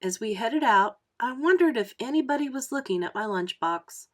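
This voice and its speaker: A woman storyteller narrating a children's book aloud in an expressive style. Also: fairly high pitch, moderate pace. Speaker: read-aloud storyteller, teacher.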